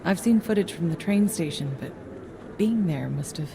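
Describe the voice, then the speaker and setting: A composed female doctor in a video game cutscene. Her voice sits slightly low, measured and professional, with a dry, probing edge. There is noticeable ambient noise behind her. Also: animated, creaky. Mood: calm concern.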